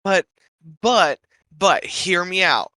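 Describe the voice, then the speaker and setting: A man's voice: sits high, lively intonation, slow pace, bright, forceful tone, no room sound. A man playing a tabletop role-playing game, talking in casual, slangy, profane banter.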